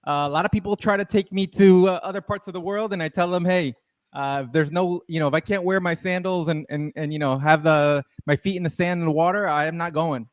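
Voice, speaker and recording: Florida-based host, man, high voice, slangy and laid-back. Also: steady tone, brisk. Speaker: podcast host. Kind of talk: casual podcast interview.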